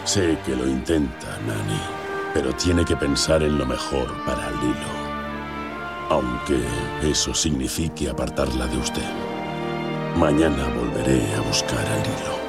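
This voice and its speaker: Casting: grave, formal official, extremely low voice, measured delivery, music underneath. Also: male voice, vocal fry, heavily acted. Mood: grave, regretful. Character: stern, imposing male social worker, grave and formal.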